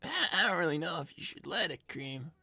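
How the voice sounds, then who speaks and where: Woman doing a cartoon teenage fox boy, creaky voice, forceful edge, hesitant, exasperated, dry close recording. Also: low voice, fairly quick, dry recording, full character voice. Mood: reassuring. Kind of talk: improvised live cartoon roleplay.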